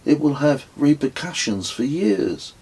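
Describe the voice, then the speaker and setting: An exasperated father implores his young son in audiobook dialogue, played by a male narrator. His voice rises well above a calm narrating pitch. The delivery is urgent and pleading. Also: measured pace, husky.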